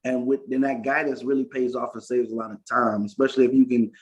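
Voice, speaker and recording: A man's voice: mid-range voice, flat delivery, quick pace. A man from Florida hosting a podcast interview, rambling through his questions with lots of restarts and frequent "facts" in agreement. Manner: rambling, many restarts, says "facts" a lot. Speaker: podcast host. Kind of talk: casual interview talk. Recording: dull lo-fi sound.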